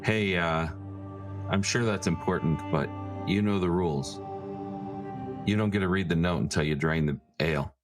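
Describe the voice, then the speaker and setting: A man's voice: deep voice, moderate pace, long pauses, faint music behind. A man speaking casually and jokingly as a player in a tabletop role-playing game.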